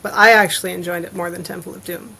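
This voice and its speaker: A woman's voice: fairly low pitch, brisk, vocal fry, noisy background. A woman chatting casually as a guest author on a podcast about adventure fiction.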